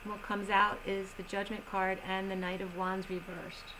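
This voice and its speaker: A woman giving a tarot reading in a slow, soothing manner, slightly strained as she is losing her voice. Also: mid-range voice, little pitch movement, noisy background. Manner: slow, soothing reading of oracle cards with gentle pauses. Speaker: tarot reader. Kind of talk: solo tarot reading video.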